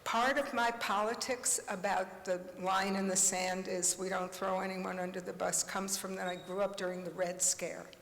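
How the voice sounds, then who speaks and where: A woman's voice: mid-range voice, little pitch movement, moderate pace, few pauses, a bit bright. A woman in her seventies, speaking on a conference panel in a passionate, blunt way with asides and short laughs. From United States. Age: 70s. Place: large conference hall. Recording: echoey hall with long reverb.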